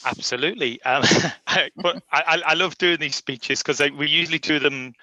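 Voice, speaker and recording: A man's voice: a little high, moderate pace, pushed and bright, no room sound, low-quality recording. A man from Liverpool speaking informally as a guest, telling his own story in a chatty, self-deprecating way. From Liverpool, England. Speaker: guest speaker, industry professional. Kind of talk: informal personal career story.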